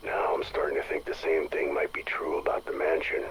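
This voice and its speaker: An actor playing a gruff, uneasy chief of security musing in a game audio log, plainspoken and wry. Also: male voice, very deep voice, monotone, fairly quick. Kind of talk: recorded audio log monologue. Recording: noisy audio log tape.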